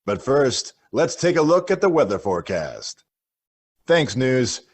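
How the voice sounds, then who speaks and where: An actor playing a chipper, formal news and weather reader, giving a stylised radio report with exaggerated announcer formality. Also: male voice, medium pitch, very animated, a little slow, breathy edge.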